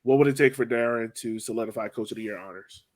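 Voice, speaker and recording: A man's voice: medium pitch, quick pace, a little mellow. A man hosting a casual sports discussion, thinking aloud in an informal way and often backtracking to rephrase. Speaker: podcast host steering the discussion.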